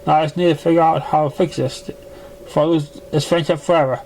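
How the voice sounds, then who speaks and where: A man voicing a dramatic, genteel female pony dressmaker in a theatrical, drawn-out, affected manner. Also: a little high, fairly even intonation, darkish tone, slight background noise, natural voice, low-quality recording. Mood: melodramatic.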